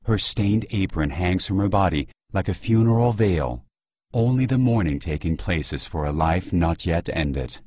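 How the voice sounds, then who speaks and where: A man playing the solemn narrator of an audio play, reading literary prose in a measured way. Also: low-pitched, expressive, darkish tone, husky, dry recording, clean studio sound. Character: solemn, literary male narrator of a surreal drama. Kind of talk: scripted dramatic narration.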